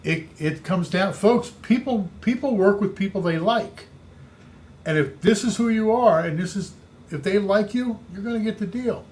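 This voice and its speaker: A man with a big booming voice coaching real estate agents, speaking emphatically and repeating his key points. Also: moderate pace, a little mellow, a little hiss or hum. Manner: emphatic, repetitive coaching delivery. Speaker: real estate sales trainer. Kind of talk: instructional podcast talk.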